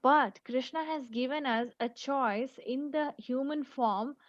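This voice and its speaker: A woman teaching an online class over a video call, speaking haltingly with many fillers and restarts. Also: sits high, no echo, dull audio.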